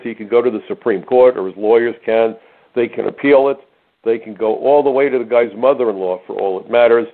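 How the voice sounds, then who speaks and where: An older man hosting a radio talk show, telling stories emphatically with sarcastic asides. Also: fairly low pitch. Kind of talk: conservative talk radio monologue. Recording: narrow phone-like radio audio.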